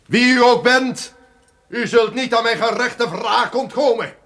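An actor playing a tyrannical, haunted duke in a theatrical, declamatory radio drama style. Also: male voice, very high voice, unhurried, fairly bright tone, full character voice. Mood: indignant, vengeful. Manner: theatrical, declamatory, swinging from menace to dread. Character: villainous murderous duke, tyrannical, haunted and raging. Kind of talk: old radio drama acting.

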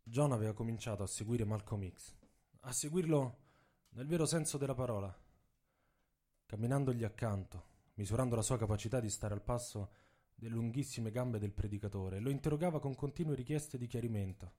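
A man's voice: mid-range voice, a little fast, mellow. A man narrating a scripted story for radio theatre in a dramatic storytelling style.

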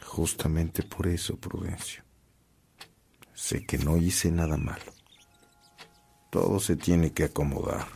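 An actor playing a humble, forbearing priest in a radio drama, speaking in a measured, earnest way. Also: male voice, rumbling low, steady tone, gravelly, warm tone, slight background noise, heavily acted. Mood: calm, earnest. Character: humble, forbearing priest, adult man of calm conviction.

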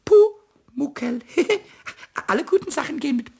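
A man's voice: lively intonation, a little slow, warm tone, a little hiss or hum, heavily acted. A man voicing a small, cheeky male kobold in a radio play. He stutters, repeats words, whines and makes up little rhymes. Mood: delighted. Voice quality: high, husky, stammering.

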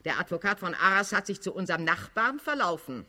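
A man's voice: high voice, sing-song, brisk, sharp tone, exaggerated voice. An actor playing a scheming old cardinal statesman in a radio drama, fanatical and coldly authoritarian, with declamatory, sardonic delivery. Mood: needling. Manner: declamatory, sardonic, chuckling rhetoric. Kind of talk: German-language radio drama acting.

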